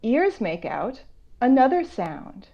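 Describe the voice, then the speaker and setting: A woman author reading a picture book aloud to children, going between slow measured reading and conversational explanatory asides. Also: a little high, sing-song, vocal fry. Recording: dull, lo-fi online call audio.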